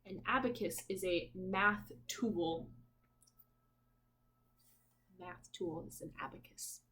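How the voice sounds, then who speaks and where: A woman's voice: lowish voice, measured pace, sharp tone, rough recording. A woman teacher reading a picture book aloud to young children in a sing-song way, prompting listeners with questions.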